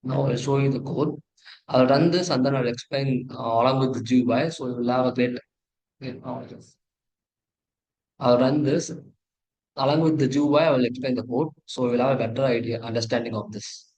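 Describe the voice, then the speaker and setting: Halting Indian-accented male tutorial presenter. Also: mid-range voice, steady tone, a little mellow, poor audio. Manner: halting, repetitive, self-correcting. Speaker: presenter of an engineering project demo. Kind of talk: tutorial walkthrough, partly read from slides.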